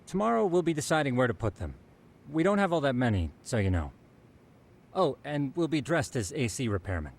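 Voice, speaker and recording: A man playing a polite, meticulous, quietly smug tech investigator in a video game dub, with formal, precise, wordy speech. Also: medium pitch, big pitch swings, fairly quick. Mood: smug, explanatory.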